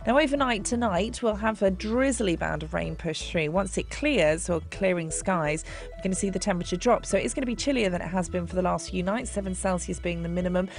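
Low-voiced woman giving a chatty weather forecast. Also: fairly quick, gravelly, soft background music. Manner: chatty, informal. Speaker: weather presenter. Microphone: studio mic.

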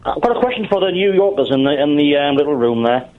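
A man's voice: fairly high pitch, expressive, fast talker. A man calling in by phone, his slightly raised voice coming over a muffled, dulled line. His delivery moves up and down a lot as he chats and teases the host. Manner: chatty and teasing. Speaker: caller. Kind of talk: casual phone-in chat.

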